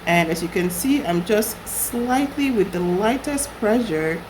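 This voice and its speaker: A young woman recovering from a flu, her voice husky, chatting casually through a makeup tutorial. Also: mid-range voice, lively intonation, a little slow, noisy background. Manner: chatty, rambling with fillers. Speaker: beauty vlogger.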